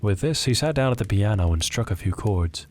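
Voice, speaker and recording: Low-voiced man narrating a story. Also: lively intonation, brisk, background noise. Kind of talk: scripted dramatic story narration.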